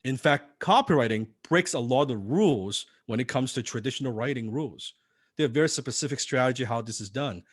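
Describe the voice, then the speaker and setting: A man's voice: mid-range voice, animated, a little fast, husky, no echo, clean studio sound. A man teaching copywriting in a live seminar, non-native in English, firing rhetorical questions at the audience. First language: not English.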